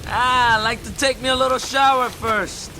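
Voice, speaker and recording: A man's voice: very high-pitched, fairly even intonation, moderate pace, noisy background. A young soldier in a radio war drama, voice raised above his natural talk. Rain, thunder and battlefield effects sound behind him. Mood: jaunty. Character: joking young soldier on patrol, later mortally wounded. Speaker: actor.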